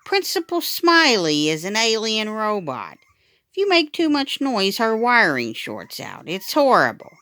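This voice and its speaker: A woman's voice: on the high side, wildly swooping pitch, a little slow, sharp tone, dry recording, pitched above the performer's own voice, full character voice. Woman acting third-grade boy, storybook big brother, self-conscious, scheming, confiding warnings, dry close sound. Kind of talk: children's storybook read-aloud.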